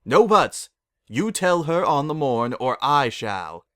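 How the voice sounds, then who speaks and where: A man's voice: mid-range voice, big pitch swings, takes it slowly, dry recording, pitched above the performer's own voice. Guy doing a bossy royal night pony princess, formal old-timey talk, storybook style, very dry recording. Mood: stern, insistent. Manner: formal archaic phrasing, commanding. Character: stern, imperious pony princess of the night, female.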